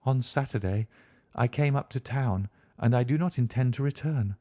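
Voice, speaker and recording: A man's voice: medium pitch, moderate pace, dark tone, no echo, voice pushed up, natural voice. A man voicing an anxious, indignant young genteel Victorian woman in a prim, earnest manner for an audiobook. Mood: earnest, uneasy. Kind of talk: audiobook character reading.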